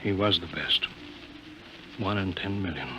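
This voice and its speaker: An old radio broadcast with faint noise carries a forceful, bright-voiced American man running a rocket base. He is terse and steady, with grave weariness beneath the reassurance, in 1950s drama style. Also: deep voice, measured pace, heavily acted. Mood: grave, subdued. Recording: noisy 1950 radio broadcast recording.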